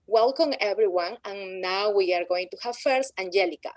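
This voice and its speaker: A woman's voice: mid-range voice, moderate pace, dry recording. A woman moderating a panel, reading prepared introductions of the guests with occasional slips.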